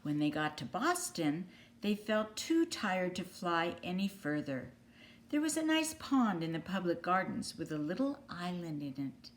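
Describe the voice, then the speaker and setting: A woman from the United States reads a picture book aloud for children. Her voice sits a little lower than most women's. She narrates as a storyteller would. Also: big pitch swings, measured pace, slight background noise. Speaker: storybook reader.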